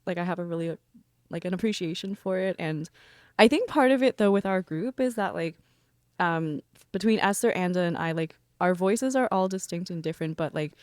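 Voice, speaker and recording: A woman singer in a band, talking relaxed and rambling in a casual interview. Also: fairly low pitch, no room sound, studio quality. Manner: relaxed, rambling with many fillers. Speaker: band member, vocalist.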